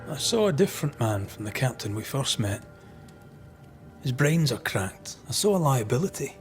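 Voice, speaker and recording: An actor playing a wry, blunt ghost hunter in a video game, informal and sardonic. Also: male voice, medium pitch, sing-song, moderate pace, faint music behind. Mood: dry, sardonic. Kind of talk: video game cutscene acting.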